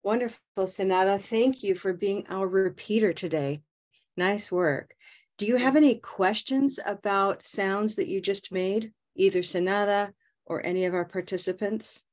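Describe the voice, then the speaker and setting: A middle-aged woman teaching English pronunciation on a video call, modeling words slowly and prompting learners. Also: mid-range voice, a little mellow. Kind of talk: slow, clear pronunciation coaching. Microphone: webcam on a video call.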